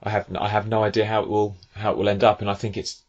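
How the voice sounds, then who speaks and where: A hoarse man hosting a football podcast, talking in an earnest, measured way with frequent fillers. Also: fairly low pitch, little pitch movement, highs cut off. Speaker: podcast host. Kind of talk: casual football podcast chat.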